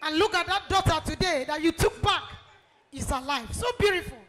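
A woman's voice: extremely high pitch, a little fast, a bit bright, soft background music. A middle-aged woman giving a fervent testimony on a handheld mic in a large church hall. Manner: fervent, ends in sung praise. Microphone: handheld microphone.

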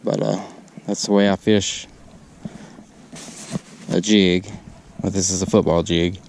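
A man giving casual fishing tips from a lakeshore, talking in halting bursts with lots of "uh" fillers, on a body-mounted camera. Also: sits low, little pitch movement, vocal fry, darkish tone, slight background noise. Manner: halting, many "uh" fillers, laid-back. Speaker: fishing vlogger. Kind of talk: casual how-to narration while fishing. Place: outdoors on a lakeshore. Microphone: body-mounted action camera.

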